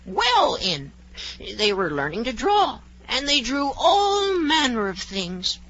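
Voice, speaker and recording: Tiny high-voiced sleepy mouse, singsong n touchy, swoopy, old muffled radio show. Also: female voice, huge pitch swings, slow, a bit bright, full character voice. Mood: dreamy storytelling. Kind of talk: 1940s radio comedy acting. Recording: muffled 1940s radio recording.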